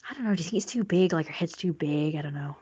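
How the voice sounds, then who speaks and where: A woman's voice: low-pitched, fast, mellow, breathy edge, highs cut off. A woman chatting casually on a livestream as she draws, rambling and self-critical. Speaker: streamer and artist drawing. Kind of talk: casual livestream chat while drawing.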